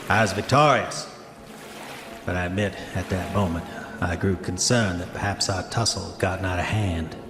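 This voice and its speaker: An actor playing a haunted man of an older century, gravely and formally reading his murder confession in a horror audio drama. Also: sits low, echoey room, over music. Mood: grim, confessional. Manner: grave, formal, measured storytelling. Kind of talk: audio drama diary monologue.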